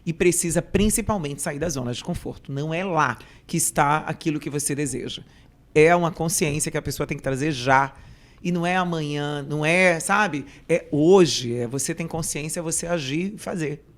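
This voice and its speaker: A woman's voice: deep voice, moderate pace. A Brazilian woman hosting a podcast conversation, affirming what her guest says and elaborating on it. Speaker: podcast host. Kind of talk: conversational interview.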